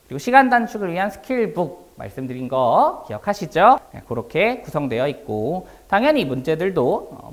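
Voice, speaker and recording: Slightly hoarse male instructor, widely varying intonation. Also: on the high side. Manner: polite, explanatory, formal Korean speech. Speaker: math academy instructor. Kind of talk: prepared class presentation with ad-libbed explanation.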